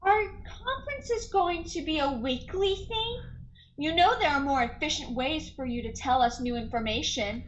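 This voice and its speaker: A muffled, clearly noisy recording of a woman playing an indignant radio-play scientist. Her high voice swings widely as she argues with biting sarcasm. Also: expressive, normal speed. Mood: dry, questioning. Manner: sarcastic, confrontational.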